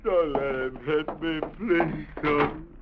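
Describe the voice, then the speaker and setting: An actor playing a beaten bully of a captain, now a whimpering, crying coward, in a vintage radio drama. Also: male voice, a little high, slow pace, very noisy. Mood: pleading, crying. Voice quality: whimpering, creaky. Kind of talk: 1950s radio drama acting. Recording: muffled vintage radio recording.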